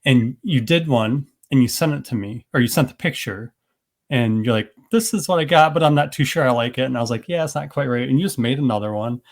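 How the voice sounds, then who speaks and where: A man's voice: mid-range voice, a little fast. A man hosting a podcast interview, chatting in a friendly, rambling way with restarts. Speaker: podcast host. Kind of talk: casual interview chat.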